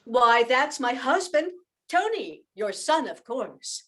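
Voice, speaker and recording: A woman's voice: on the high side, sing-song, takes it slowly, a bit bright, heavily acted. A woman playing a hospitable young widow and mother in an amateur radio play. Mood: gracious.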